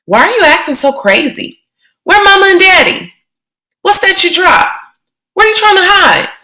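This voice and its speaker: A bossy, whiny little girl who keeps threatening to tell on her brother, as a woman reader plays her. She speaks well above the reader's own pitch and gets louder as she pushes. The voice comes from a storybook reading. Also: big pitch swings, moderate pace, full character voice.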